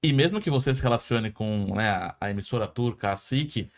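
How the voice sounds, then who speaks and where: A man with a Brazilian Portuguese accent, hosting a podcast conversation. He talks in a lively, informal way and often restarts his sentences partway through. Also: medium pitch, fairly quick. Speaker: podcast host. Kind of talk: casual podcast interview.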